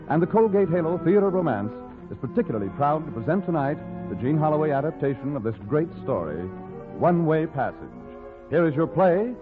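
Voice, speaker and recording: A man announcing a radio show in a formal, declamatory 1940s style. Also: fairly high pitch. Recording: muffled vintage radio recording with music.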